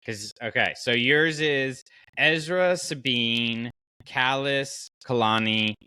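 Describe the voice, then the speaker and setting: Male podcast host, bright and punchy, very dry sound, casually arguing his case in everyday slang. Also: mid-range voice, slow, smooth, dry recording. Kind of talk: casual fan podcast discussion.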